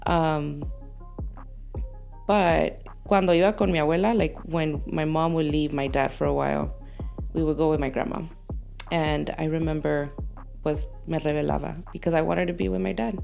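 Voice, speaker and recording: A woman's voice: sits low, steady tone, moderate pace, vocal fry, a little mellow, faint music behind. A woman being interviewed as a guest, talking candidly about her life and switching between English and Spanish. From Los Angeles, United States. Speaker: podcast guest. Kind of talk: candid conversational interview, telling life story.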